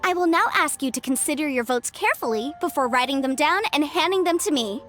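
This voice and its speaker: A woman's voice: high-pitched, animated, fairly quick, fairly bright tone, faint music behind. A woman playing a young, upbeat contest officiator in a video game, speaking in formal, ceremonious announcements.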